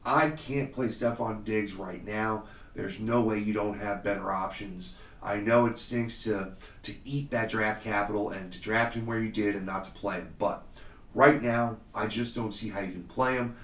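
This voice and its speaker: A man hosting a solo podcast in a casual, confident way, slightly hoarse from a cold, with frequent fillers. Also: lowish voice, steady tone, moderate pace, a bit bright, echoey room, far from the mic. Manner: confident, conversational, many fillers. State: slightly hoarse, under the weather. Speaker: podcast host. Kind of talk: solo fantasy football podcast talk.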